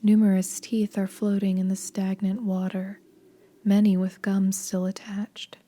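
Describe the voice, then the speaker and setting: A woman narrating a horror video game fan dub in a hushed, breathy, grave and measured way. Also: mid-range voice, little pitch movement, natural voice.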